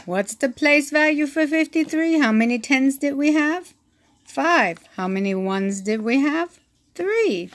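A woman reading a children's math picture book aloud, counting slowly and asking the listener questions, recorded on a phone held over the book. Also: high voice, lively intonation. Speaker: read-aloud teacher.

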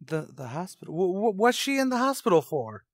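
A man's voice: high voice, sing-song, a little fast, no echo, studio quality, exaggerated voice. An actor playing a haunted, unravelling young man in an old-time radio suspense drama, with a brooding, dramatic delivery. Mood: panicked, disbelieving.

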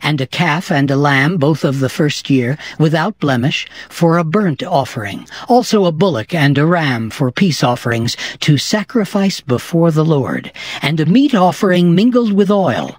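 An actor playing a solemn, commanding male prophet in a dramatized scripture reading, speaking in a stately, declamatory, measured way. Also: fairly high pitch, very animated, dry recording, clean studio sound.

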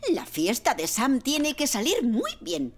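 A woman voicing a fussy, excitable mother who runs a shop in a dubbed cartoon, exclaiming and scolding. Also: sits high, unhurried. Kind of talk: cartoon dubbing.

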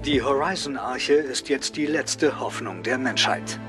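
A man's voice: medium pitch, measured pace. A man giving a scripted voice-over for a documentary. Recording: loud music over the voice.

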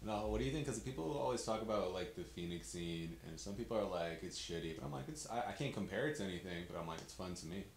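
Man, low voice, fast chatty questions, roomy sound, faint hiss. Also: expressive, creaky. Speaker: interviewer, comedian. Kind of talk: casual interview talk.

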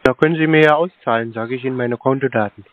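A man's voice: mid-range voice, quick pace. A man taking a phone call, answering curtly with grunts and one-word replies. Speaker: call recipient baiting scammers. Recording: dry tinny phone line.

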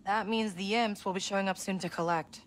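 A woman's voice: medium pitch, fairly even intonation, brisk, slight background noise, natural voice. An actress playing a scrappy young woman outlaw in a video game, talking in a casual, clipped, businesslike way. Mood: wry, low-key.

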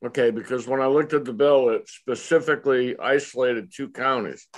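A man's voice: medium pitch, moderate pace. A man on a committee questioning a witness over a video call, direct and plain. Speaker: state representative on committee.

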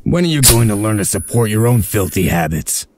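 A man's voice: fairly low pitch, sing-song, brisk, background noise. A man voicing a stern, shrewd leader of a covert break-in in a comic dub, giving curt, authoritative orders. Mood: patient, curt.

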